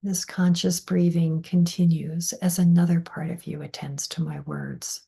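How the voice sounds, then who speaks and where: A woman leading a guided meditation in a soft, breathy, husky voice, with soothing delivery and deliberate pauses between phrases. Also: fairly low pitch, level tone.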